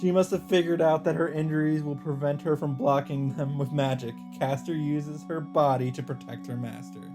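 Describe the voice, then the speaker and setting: A man's voice: on the high side, fairly even intonation, normal speed. A man hosting a let's play, reading visual novel narration aloud. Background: game music.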